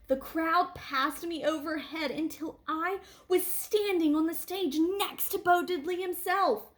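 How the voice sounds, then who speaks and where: A woman reading a picture book aloud to children like a teacher, with animated phrasing and deliberate emphasis. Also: very high voice. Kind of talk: expressive read-aloud storytelling for children.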